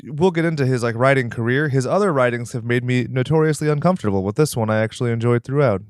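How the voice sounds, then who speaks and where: A man's voice: medium pitch, fast, no room sound, clean studio sound. A man hosting a podcast, chatting casually about movies in a joking, playfully theatrical manner. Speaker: podcast host. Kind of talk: casual movie review chat.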